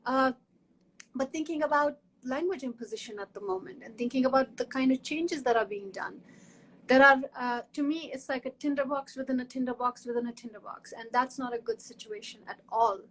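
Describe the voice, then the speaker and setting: Woman talking on a video call, high voice, keeps restarting her sentences, bit of hiss behind her. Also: a little fast, low-quality recording. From Kashmir. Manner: earnest, frequent restarts and repetitions. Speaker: guest academic in conversation. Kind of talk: conversational interview talk.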